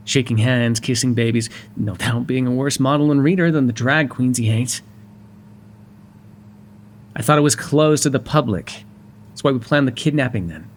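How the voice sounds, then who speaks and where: A man's voice: medium pitch, a little hiss or hum, close to an everyday voice. A man playing a wry, courteous but exasperated mafia boss in an ASMR roleplay, sardonic and conversational in manner.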